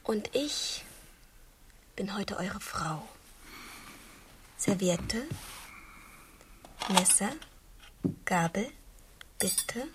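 An actress in a radio drama playing an adoring, naive young girl with a breathy voice and a coy, teasing manner. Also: mid-range voice, extremely slow, pauses often, a little hiss or hum. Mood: adoring, hushed. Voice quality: breathy, often near whisper.

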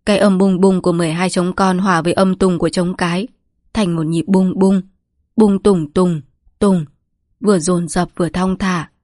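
A woman's voice: on the low side, steady tone, moderate pace, darkish tone, no echo, close to an everyday voice. Storybook role: frail, dying old family patriarch read by a woman, rambling, bitter and mournful, very dry close sound. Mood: solemn, wistful. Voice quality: weak, breathy, trembling delivery. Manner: lamenting, rambling, scolding.

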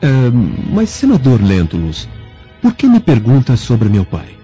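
Radio drama role: wealthy freed slave, courteous and secretly vengeful, dark low tone, music underneath. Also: male voice, wildly swooping pitch, measured pace. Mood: smooth, guarded. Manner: formal, courteous, polished. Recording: dull lo-fi audio with music.

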